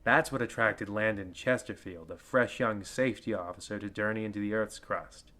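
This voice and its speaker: A man playing a pompous, crotchety old narrator of a radio horror show, with a theatrical, drawn-out delivery. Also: on the low side, pushed and bright. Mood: ominous, theatrical.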